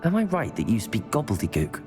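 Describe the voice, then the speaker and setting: An actor playing a composed, polite and brave teenage wizard student in a video game. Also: male voice, mid-range voice, wildly swooping pitch, brisk, darkish tone. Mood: polite, reassuring. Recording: game music behind the voice.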